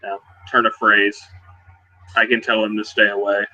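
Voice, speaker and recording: A man playing a laid-back, plain-spoken werewolf in casual, halting improvised roleplay. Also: lowish voice, little pitch movement, sharp tone, dull audio. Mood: dry. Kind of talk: improvised in-character roleplay dialogue.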